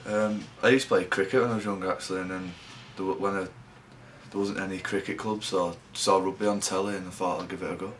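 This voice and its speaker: Young rugby lad, deep monotone voice, mumbly answers, bit of hiss. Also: male voice. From England. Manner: low-key, halting, trails off. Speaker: rugby league player. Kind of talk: interview answers.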